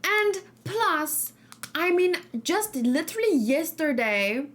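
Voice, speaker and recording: A woman's voice: very high-pitched, big pitch swings, slow, fairly bright tone. A woman streaming casual game reaction and discussion, thinking aloud and giving her opinions to viewers. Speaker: gaming streamer.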